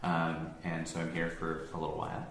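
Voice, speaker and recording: An American man from near Boston giving a relaxed, conversational talk to an audience, with frequent ums and self-corrections. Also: a bass voice, fairly quick, gravelly. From United States, near Boston. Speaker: science organization staff giving a talk. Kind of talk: informal presentation to an audience. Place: room with an audience. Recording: echoey room, faint background hum.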